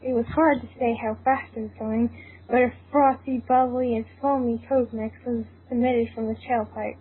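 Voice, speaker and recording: A woman's voice: a little high, steady tone, normal speed, slightly rough. A woman reading a story aloud as narrator in an informal way, stumbling now and then and apt to giggle. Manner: informal, breaks into giggles, stumbles over lines. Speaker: narrator of a fan fiction reading. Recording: thin phone-like sound, faint noise.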